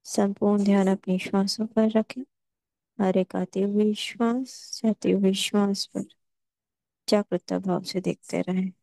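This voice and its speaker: Mellow, even-toned woman guiding meditation. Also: medium pitch, measured pace, gravelly, no echo. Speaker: guided meditation instructor. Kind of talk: guided meditation instructions.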